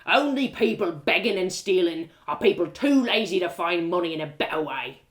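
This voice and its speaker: Gruff castle guard played by a woman: contemptuous bully, rough grammar, loud and lively, storybook villain. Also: lowish voice, moderate pace, lightly acted. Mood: scornful.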